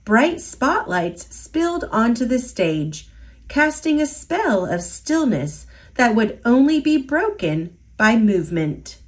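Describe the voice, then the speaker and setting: A woman reading a children's picture book aloud, slowly and expressively, in a sing-song storytelling manner. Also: fairly high pitch, very animated. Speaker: storytime reader.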